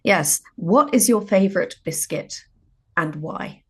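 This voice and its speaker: Husky-voiced woman interviewing casually. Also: medium pitch, lively intonation, slow pace, darkish tone. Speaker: writer and podcast interviewer. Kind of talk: casual chat.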